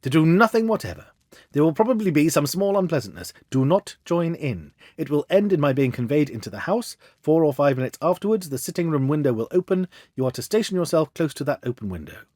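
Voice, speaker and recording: A man reading a storybook as a confident, commanding Victorian gentleman detective with an assured, theatrical manner. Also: a little high, sing-song, a little fast, no echo, studio quality.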